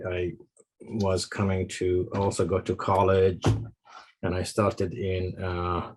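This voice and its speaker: A man in his sixties from Palestine, speaking on a video call with many pauses and fillers. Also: low-pitched, darkish tone. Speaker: panelist. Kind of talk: casual video-call talk.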